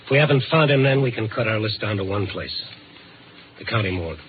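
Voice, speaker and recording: A man's voice: on the low side, expressive, quick pace, heavy background noise, lightly acted. Man acting hard-boiled reporter, tinny old radio. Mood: brisk, reflective. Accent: American. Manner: urgent, clipped, hard-boiled narration and dialogue. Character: dogged, compassionate male newspaper reporter on night shift. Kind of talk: 1950s American radio drama acting. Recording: tinny vintage radio recording with music.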